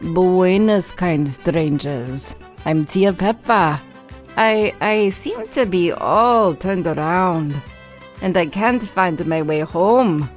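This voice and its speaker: A woman's voice: lowish voice, expressive, takes it slowly, soft background music. A woman voicing a confused, polite older cartoon aunt with a hesitant, courteous manner. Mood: lost, polite.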